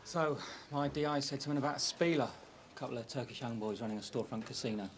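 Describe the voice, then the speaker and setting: An actor playing a calm, confident detective constable in a TV police drama. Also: male voice, medium pitch, fast talker, slight background noise, natural voice. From London.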